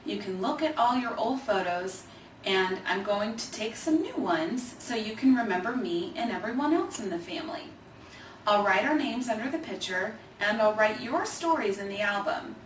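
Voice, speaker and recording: A woman voicing an earnest, caring young girl in an expressive children's storybook reading. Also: medium pitch, moderate pace, close to an everyday voice. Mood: reassuring. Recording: roomy, distant mic, faint noise.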